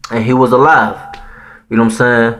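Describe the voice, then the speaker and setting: Man, 30s, Haitian accent, casual profane storyteller, middle-pitched voice. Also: flat delivery, a little fast, fairly bright tone, rough recording. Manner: slangy and profane, peppered with "man" and "you know what I'm saying". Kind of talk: casual spoken storytelling to viewers.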